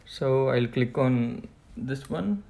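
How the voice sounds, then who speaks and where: Man with a creaky voice, giving setup instructions. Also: mid-range voice, animated, slow pace, dark tone, a little hiss or hum. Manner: step-by-step, plain instructional. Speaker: tutorial presenter. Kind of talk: hands-on product setup walkthrough.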